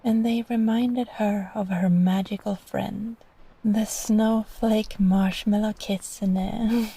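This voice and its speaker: Soft, mellow woman reading a bedtime story. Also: medium pitch, rough recording. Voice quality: soft, breathy, often near whisper. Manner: gentle, slow, soothing delivery. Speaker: storyteller, voice actress. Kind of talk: soft bedtime story reading, sleep-aid ASMR roleplay.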